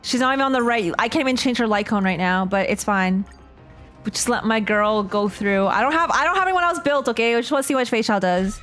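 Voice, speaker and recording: A woman's voice: a little high, fast, fairly bright tone. A woman streams a game in a casual, rambling voice. She thinks aloud in slangy asides as she talks to her chat. Faint music plays behind her. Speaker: streamer playing and reacting to a game.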